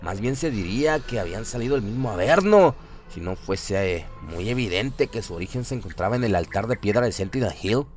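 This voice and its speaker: A man narrating a horror audio drama in a solemn, literary, deliberate storytelling manner. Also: on the low side, animated. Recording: loud music bed behind voice.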